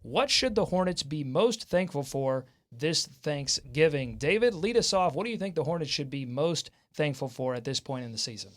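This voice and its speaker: A man with a fairly high voice hosts a sports show. His talk is lively and informal, with a jokey streak. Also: normal speed, hardly any pauses. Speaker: podcast host.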